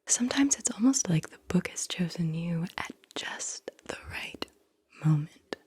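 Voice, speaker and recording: A woman whispering softly and breathily as she hosts a soothing, deliberate ASMR guided visualization. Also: fairly low pitch, animated. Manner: soothing, slow and deliberate, repeats words softly. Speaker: ASMR host guiding visualization exercises.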